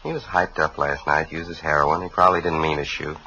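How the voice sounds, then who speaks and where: A surly, defensive American bar owner in vintage radio drama. He has a low, forceful voice and talks quickly and curtly. The recording is dull, with faint noise. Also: fairly even intonation, exaggerated voice. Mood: grudging, defensive. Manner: curt, irritable, evasive. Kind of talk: 1950s radio drama acting.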